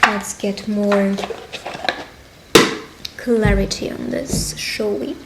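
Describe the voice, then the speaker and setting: A woman speaking directly to the listener as a tarot reader in a witch roleplay, pausing as she goes. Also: medium pitch, little pitch movement. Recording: clear background ambient noise.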